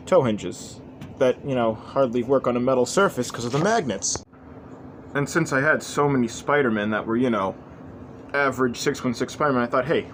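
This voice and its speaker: A young man chatting casually and offhandedly as he shows off a hobby project, rambling and self-deprecating. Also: medium pitch. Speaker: host of a toy customizing channel. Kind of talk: casual hobby showcase chat. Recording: clearly noisy homemade recording.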